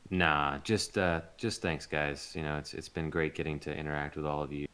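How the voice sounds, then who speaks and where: A man's voice: rumbling low, steady tone, moderate pace, bright, forceful tone, smooth. A man chatting casually as a voice actor being interviewed.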